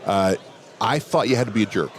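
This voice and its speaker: A man with a big voice, a security professional telling a relaxed story during a hallway chat at a conference. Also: fairly low pitch, brisk. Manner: relaxed anecdotal telling, quoting others in dialogue. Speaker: interview guest, security professional. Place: outdoors at a conference venue.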